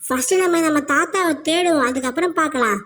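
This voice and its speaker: A woman doing a very high boastful cartoon boy. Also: quick pace, a bit bright. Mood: playful. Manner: boastful, mock-heroic movie dialogue. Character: boastful boy sidekick Rolex, clowning hero talk. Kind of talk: Tamil cartoon voice acting.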